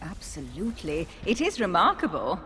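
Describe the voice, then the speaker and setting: A woman's voice: fairly low pitch, huge pitch swings, normal speed, bright, forceful tone, slight background noise, full character voice. An actress playing a refined, poised and polite society woman in a video game, heard in a reverberant hall. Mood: admiring. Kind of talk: video game cutscene acting.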